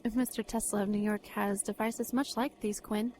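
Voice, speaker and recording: A woman's voice: medium pitch, flat delivery, normal speed, darkish tone, slight background noise, natural voice. An actress playing a curious, refined young society lady in a period radio drama, polite, composed and formal.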